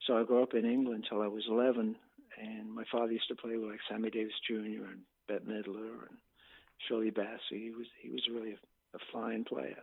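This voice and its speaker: A man's voice: lowish voice, a little fast, vocal fry. Chatty man on a tinny phone line. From Bermuda. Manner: relaxed and chatty, chuckles often, repeats words. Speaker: guest saxophone player. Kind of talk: casual interview chat.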